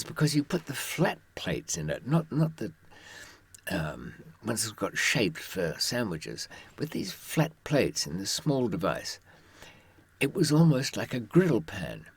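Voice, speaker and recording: A man with a husky voice telling first-person stories and advice informally, with wry, understated humour. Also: fairly low pitch. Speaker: author and former prisoner giving advice.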